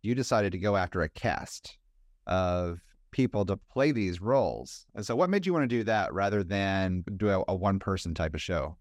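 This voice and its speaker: A man with a deep voice interviews a guest on a podcast. His questions are casual and conversational, and the recording is dry and free of room sound. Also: sing-song, moderate pace, no room sound.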